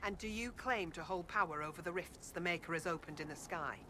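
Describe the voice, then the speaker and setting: An actor playing a stern religious zealot in a fantasy game, with a commanding, challenging delivery. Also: male voice, a little high, a little fast, sharp tone, a little hiss or hum. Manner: commanding, accusatory. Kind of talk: video game dialogue acting.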